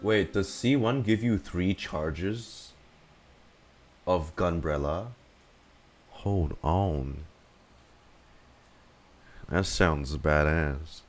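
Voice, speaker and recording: A man giving casual, halting, sweary reaction commentary on a game, musing aloud with music behind. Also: low voice. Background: soft game music.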